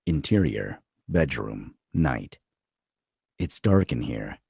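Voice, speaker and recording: Very deep gravelly man narrating a script. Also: big pitch swings, slow pace, dark tone, no echo, studio quality. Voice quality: gravelly, husky. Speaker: narrator at a script table read.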